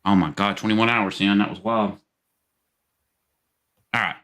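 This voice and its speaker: A man hosting a live call-in stream, hoarse from losing his voice, who reacts to clips in an excitable, mocking way and swears freely. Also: on the low side, measured pace. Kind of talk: casual live stream commentary.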